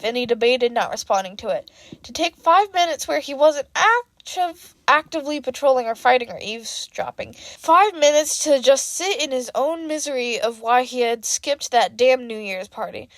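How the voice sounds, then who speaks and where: A woman reading fan fiction aloud in an expressive audiobook style. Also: a little high, no echo. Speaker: fan fiction podcast reader.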